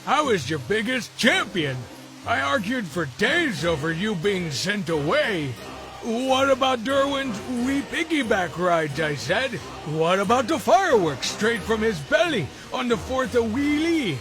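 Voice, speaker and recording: A man voicing a small, goofy, scheming creature in an animated film, chatty and wheedling with an excitable manner. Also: high voice, unhurried, over music. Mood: flattering.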